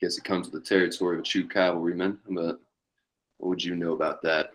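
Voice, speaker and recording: A deep-voiced outlaw leader and ex-cavalry captain from a western, read by a man at a table read. He taunts deliberately and with menace. Faint noise sits behind the voice. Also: level tone, close to an everyday voice. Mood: taunting, cold.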